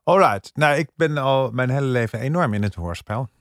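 A man's voice: mid-range voice, lively intonation, normal speed, dry recording, clean studio sound. A middle-aged or older man talking earnestly and explaining things in a casual podcast review.

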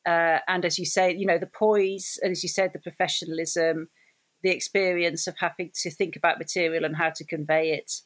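A woman with a slightly low voice hosts an interview. She sounds encouraging and affirming, and she often praises her guest and sums up what the guest has said. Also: little pitch movement, a little fast. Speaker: interviewer, host. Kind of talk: supportive interview.

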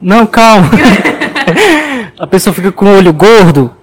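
A man co-hosting a podcast chat, boasting in a playful, deadpan way. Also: sits high, takes it slowly. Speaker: podcast co-host. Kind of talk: casual chat.

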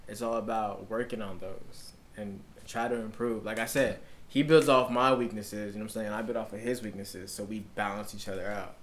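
A man's voice: on the low side, fairly even intonation, a little fast, slight background noise. A man from South Sudan, a podcast guest talking earnestly and emphatically in casual chat, often asking "you know what I'm saying".

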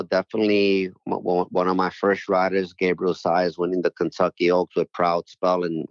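A man's voice: low-pitched, no echo, dull audio. A middle-aged man from New York City, a jockey agent speaking as a guest in a casual interview, friendly and rambling with lots of "you know".

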